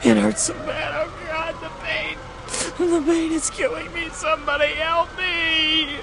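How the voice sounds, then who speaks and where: A man's voice: extremely high pitch, steady tone, a little slow, vocal fry, background noise, pitched above the performer's own voice, exaggerated voice. A man voicing a mortally wounded man who screams and begs for help in agony, in a horror motion comic. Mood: agonized pleading. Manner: screaming, pleading, anguished.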